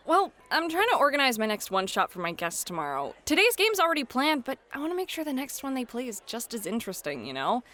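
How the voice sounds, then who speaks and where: A woman's voice: high-pitched, huge pitch swings, quick pace, few pauses, sharp tone, heavily acted. A woman playing a friendly, flirty club hostess and game master in an ASMR roleplay, chatty and playful. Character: friendly, flirty club hostess who runs tabletop games. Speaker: host and dungeon master.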